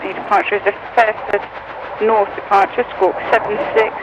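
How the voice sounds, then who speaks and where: A man's voice: high-pitched, steady tone, normal speed. A man working as ground controller, giving procedural calls over aviation radio. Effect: air traffic radio. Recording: dull, noisy air traffic radio.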